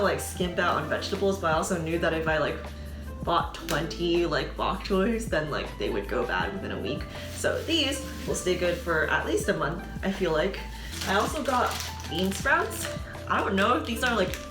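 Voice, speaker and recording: Chatty young woman, roomy sound. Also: lowish voice. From San Francisco Bay Area. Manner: chatty and rambling, constant "like" fillers. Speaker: vlogger. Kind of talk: casual food haul vlog. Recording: roomy sound with background music.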